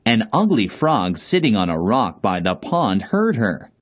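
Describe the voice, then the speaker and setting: A man's voice: lowish voice, sing-song, a little slow. A man reading scripted fairy-tale narration for children as storyteller.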